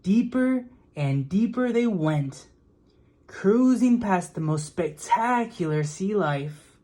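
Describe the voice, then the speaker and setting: A woman reading a children's picture book aloud in a deliberate, expressive storytelling manner. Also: medium pitch. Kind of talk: storybook read-aloud narration.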